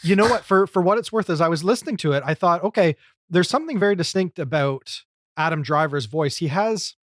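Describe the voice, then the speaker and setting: Higher-voiced man, reflective podcast host, dry sound. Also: lively intonation, brisk, a bit bright, dry recording. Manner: reflective, articulate, encouraging. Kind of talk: casual discussion and commentary.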